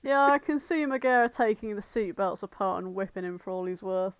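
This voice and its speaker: A woman's voice: mid-range voice, fairly quick, clean tone, no echo. A woman co-hosting a podcast, chatting casually among friends in a blunt, informal way. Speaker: podcast co-host.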